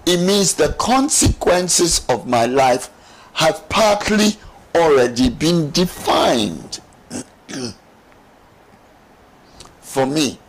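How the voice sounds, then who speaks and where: Talk-show guest: middle-aged man, slightly high pitch, unhurried and deliberate, explaining with emphasis. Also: rough recording. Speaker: studio guest. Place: TV studio lounge set. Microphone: lapel mic.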